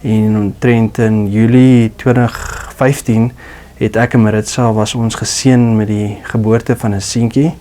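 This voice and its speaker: A man from Namibia giving an earnest, reflective personal testimony as a radio listener, pausing often to find his words. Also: fairly low pitch, little pitch movement, mellow, a little hiss or hum. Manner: earnest, reflective, with pauses and fillers.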